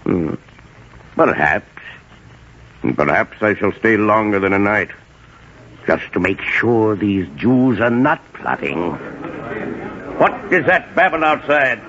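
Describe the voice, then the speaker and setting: A man's voice: fairly low pitch, sing-song, slow pace, long pauses, a bit bright, slight background noise, heavily acted. An actor playing a suspicious, imperious king in a brooding, commanding manner, in 1940s radio acting style. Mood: wary, commanding. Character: suspicious, imperious male king fearing plots. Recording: muffled 1940s radio recording.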